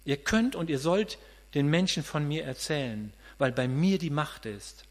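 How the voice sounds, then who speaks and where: Man in his fifties, sermon, earnest and conversational, higher voice, long hall reverb, mains hum. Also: lively intonation, unhurried. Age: 50s. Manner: earnest, conversational, repeats words as he thinks aloud. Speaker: preacher.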